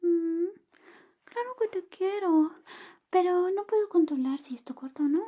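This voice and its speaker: A woman speaking softly and often whispering in an ASMR roleplay, playing an affectionate, playful best friend. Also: extremely high pitch, lively intonation, measured pace. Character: affectionate, clumsy female best friend giving a shave. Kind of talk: ASMR personal attention roleplay.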